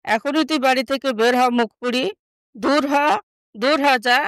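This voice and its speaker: Woman playing a harsh, high-voiced older stepmother. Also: normal speed, fairly bright tone, clear, resonant tone, no room sound. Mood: furious. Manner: wailing, scolding. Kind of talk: animated moral story dialogue.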